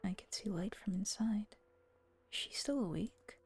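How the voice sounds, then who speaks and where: A woman voicing a fretful young master of a gothic house in a visual novel, brooding to himself in a breathy half-whisper. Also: medium pitch, a little mellow, soft background music, lightly acted. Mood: uneasy, hushed. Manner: slow, brooding self-talk.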